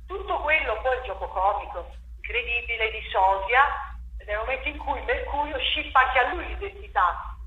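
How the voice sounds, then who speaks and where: A woman with a fairly high voice, a playwright and director, talks animatedly about her work. She thinks aloud, often restarts and doubles back, and keeps the talk going. The room is echoey, with a faint hiss underneath. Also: animated, moderate pace, phone-quality sound. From Italy. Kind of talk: interview about a stage play.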